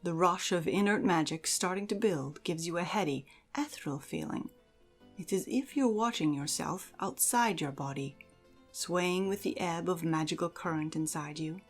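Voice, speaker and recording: A woman giving a measured, theatrical reading as narrator of a fantasy audio drama. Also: on the low side, soft background music. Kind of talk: dramatic second-person fantasy story narration.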